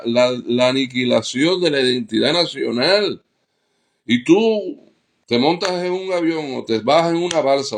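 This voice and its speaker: A middle-aged man with a Cuban accent speaks in a fairly high-pitched voice with a gravelly creak. He delivers an impassioned political monologue, hammering his points through repetition in an indignant, emphatic manner. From Cuba. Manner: indignant, emphatic, repeats phrases for rhetorical effect. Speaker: political commentator.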